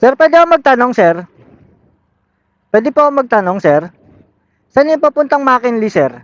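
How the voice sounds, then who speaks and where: A man's voice: extremely high pitch, sing-song, normal speed, dry recording, dull audio. A man with a Filipino accent chatting casually as a motorcycle vlogger, in informal, upbeat street talk mixed with English. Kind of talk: casual vlog chat to viewers while riding. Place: outdoors on a motorcycle in city streets.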